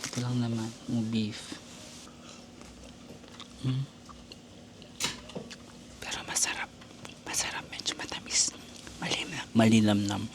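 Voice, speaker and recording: A man's voice: lowish voice, fairly even intonation, unhurried, long pauses, in a whisper. A man from the Philippines with a Filipino accent, a travel vlogger chatting casually and laughing often, mixing Tagalog and English in a hostel common area. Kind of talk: casual vlog chat.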